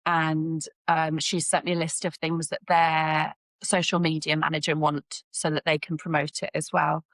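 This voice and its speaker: Female healthcare professional, lower register, informal conversational delivery, very little room sound. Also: little pitch movement, normal speed, no room sound. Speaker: healthcare professional being mentored. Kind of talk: casual professional chat.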